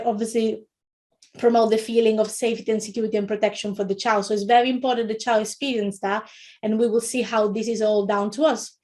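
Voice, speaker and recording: A middle-aged woman from Italy with an Italian accent, giving an informal educational webinar talk in a halting, often rephrasing way. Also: mid-range voice, a little mellow. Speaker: webinar presenter, early years educator.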